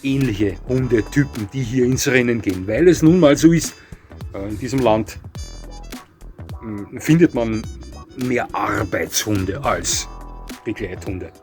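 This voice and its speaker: Man, mid-pitched voice, halting commentary full of fillers, blunt, faint music underneath. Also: a little mellow. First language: not Portuguese. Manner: halting, many fillers and self-corrections; frank, matter-of-fact. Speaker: dog breed commentator. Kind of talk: spoken commentary on a breed ranking.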